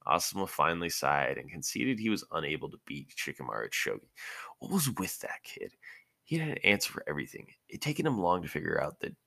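A man's voice: very deep voice, sing-song, quick pace, gravelly, sharp tone, dry recording. A man narrating fan fiction as an amateur audiobook, sometimes stumbling and rereading phrases.

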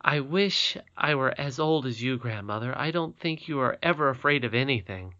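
A young princess girl, earnest and raised high, as a man puts her on. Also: animated, normal speed, sharp tone, no room sound, highs cut off. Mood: pleading.

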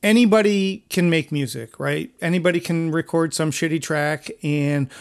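A male podcast host with a fairly high voice and a strong gravelly creak. He interviews in a loose, casual chat style. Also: moderate pace.

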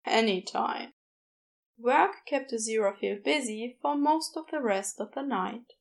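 A woman's voice: on the high side, normal speed, gravelly. A woman reading scripted fiction as an audiobook narrator.

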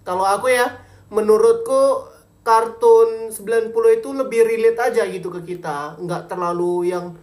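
A woman's voice: mid-range voice, fairly even intonation, normal speed, darkish tone. Woman hosting a casual podcast, chatty, keeps things moving. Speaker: podcast host. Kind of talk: casual chat.

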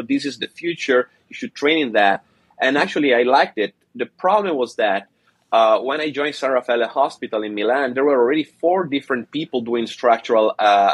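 A man in his forties from Italy, speaking as a cardiologist guest, measured with frequent hesitations. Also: mid-range voice, slightly rough, no room sound. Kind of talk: conversational interview.

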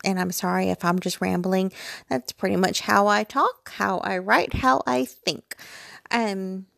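A woman's voice: medium pitch, fairly even intonation, unhurried, creaky, fairly bright tone, no room sound, studio quality. An American woman in her forties chatting casually and digressively, with a self-deprecating, rambling manner. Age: 40s. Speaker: vlogger. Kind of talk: casual rambling personal chat. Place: bedroom at home, lying in bed.

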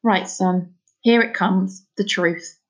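A woman's voice: medium pitch, steady tone, takes it slowly. A woman voicing a stern, troubled father in a storybook, with blunt, scolding, folksy speech. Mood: resigned, confiding. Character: stern, anguished Scottish father, gruff and scolding.

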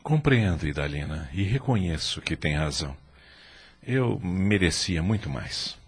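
An actor playing a humble, anxious middle-aged husband in a radio drama, with a Brazilian accent and a contrite, heartfelt delivery. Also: male voice, sits low, animated, measured pace, slightly rough, exaggerated voice. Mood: remorseful, grateful.

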